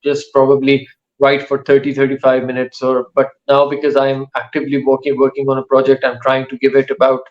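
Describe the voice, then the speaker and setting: A male author, whose first languages are Urdu and Saraiki, giving casual interview answers in a thoughtful way that pauses often mid-sentence. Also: medium pitch, completely flat delivery, muffled recording. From Pakistan. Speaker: author and writing teacher.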